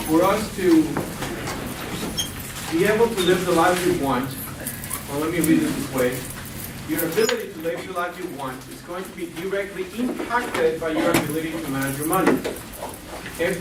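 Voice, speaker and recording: A man's voice: fairly high pitch, moderate pace, long pauses. Chatty man teaching a roomful of students. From Guatemala and Costa Rica. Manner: chatty, asks the audience questions. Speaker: financial literacy educator. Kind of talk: classroom workshop presentation. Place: lecture room. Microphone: far camera. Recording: echoey room from far off, noisy.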